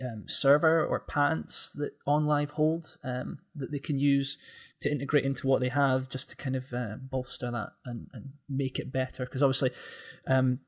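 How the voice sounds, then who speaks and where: Male podcast guest, mid-pitched voice. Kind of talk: casual podcast conversation.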